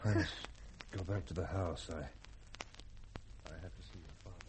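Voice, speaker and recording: An actor playing a stern spaceship skipper with a brisk, authoritative manner, in old radio drama style. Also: male voice, sits low. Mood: awkward, flustered. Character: stern, commanding spaceship skipper, awkward around women. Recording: noisy vintage radio drama recording.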